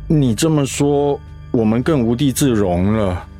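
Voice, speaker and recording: A man voicing a dutiful middle-aged son and schoolteacher in a radio drama, speaking in a steady, subdued way. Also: medium pitch, measured pace, faint music behind, natural voice. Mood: somber.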